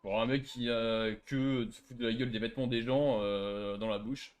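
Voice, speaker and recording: A man's voice: mid-range voice, fairly even intonation, normal speed. Sarcastic Frenchman with a mid-range voice. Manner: sarcastic, provocative, nitpicking. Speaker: gaming streamer trolling players. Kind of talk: casual bickering in online roleplay game.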